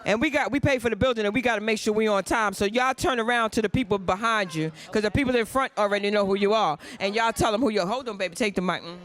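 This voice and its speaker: A woman emceeing a celebration for a large audience, loud and playful, calling out to the crowd over its noise. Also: medium pitch, quick pace, hardly any pauses. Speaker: host.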